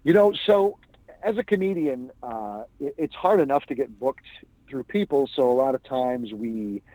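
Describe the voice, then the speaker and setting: A man's voice: medium pitch, lively intonation, normal speed, no room sound, phone-quality sound. A man, a stand-up comedian, telling stories as a guest in a casual interview. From New England. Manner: chatty anecdotal storyteller.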